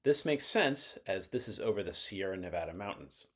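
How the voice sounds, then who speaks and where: A man with a fairly low voice narrates an instructional explainer. His delivery is scripted and measured, laying things out methodically and clearly step by step. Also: expressive, fairly bright tone.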